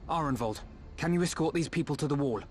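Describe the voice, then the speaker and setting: A man's voice: fairly high pitch, fast talker, slight background noise, natural voice. An actor playing an earnest, noble young man in a video game, formal, articulate and resolute. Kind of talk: video game cutscene acting. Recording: music behind the voice.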